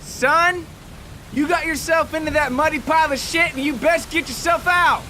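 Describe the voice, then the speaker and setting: A man voicing a harsh, foul-mouthed father who taunts and barks orders loudly in a dramatic story. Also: very high voice, normal speed, slight background noise, voice pushed up. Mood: scornful. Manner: loud, taunting, barking orders.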